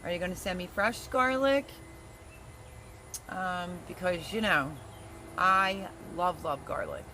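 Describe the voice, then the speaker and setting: A casual gardening host on a livestream, reading viewer comments aloud and joking with them. The voice sits at a middling pitch. A steady hiss and passing traffic run under the talk. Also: slow pace, pauses often. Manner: chatty and teasing, reads viewer comments aloud.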